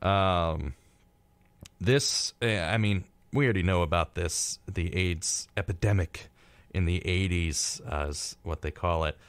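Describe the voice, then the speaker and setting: Low-pitched man hosting casual radio. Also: unhurried, smooth, no echo. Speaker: radio show co-host. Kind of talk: casual, chatty live radio talk. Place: radio studio. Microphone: close studio microphone.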